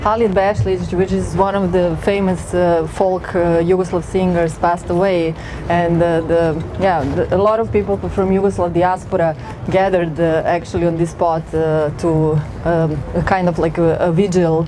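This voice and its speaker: A woman's voice: fairly low pitch, flat delivery, normal speed, hardly any pauses. A woman from northern Serbia, a research fellow speaking on an outdoor city tour in a hesitant, friendly way with many fillers. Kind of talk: talk on a guided city tour. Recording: outdoor street noise. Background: street traffic.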